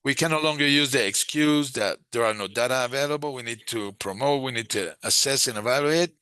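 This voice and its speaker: A man giving a live interpretation of a webinar discussion, speaking in halting, phrase-by-phrase chunks. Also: mid-range voice, sharp tone, no room sound. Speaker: simultaneous interpreter.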